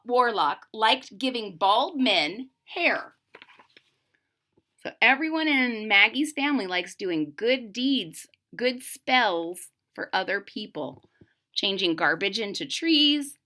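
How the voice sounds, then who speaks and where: Bright, animated woman reading to children. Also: a little high. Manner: expressive, explains and repeats for young listeners. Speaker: storybook reader. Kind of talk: children's picture-book read-aloud with asides to viewers.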